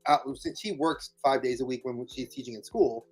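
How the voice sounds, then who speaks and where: A man with a New York accent hosting a live chat, animated and friendly. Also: mid-range voice, normal speed, husky, faint music behind. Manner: animated, friendly, says dude a lot. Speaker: host.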